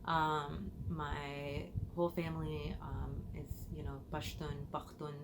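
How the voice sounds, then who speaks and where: A woman's voice: deep voice, little pitch movement, slow, noisy background. A woman from Illinois, a perfume vlogger answering viewer questions in a chatty way, thinking aloud as she goes, recorded on her phone. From United States, Illinois. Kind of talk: casual Q&A chat to camera.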